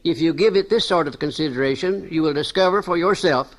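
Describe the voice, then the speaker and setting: A man's voice: fairly high pitch, brisk. A man giving a formal, emphatic reading of a scripted text.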